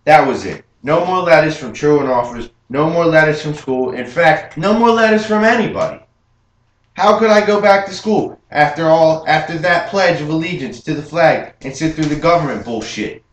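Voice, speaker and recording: A young man playing an adult gangster who proudly recalls his youth, telling it earnestly and rising with excitement, as a memorized film monologue. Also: fairly high pitch, moderate pace, pitched above the performer's own voice, close to an everyday voice. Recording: roomy room with faint hum.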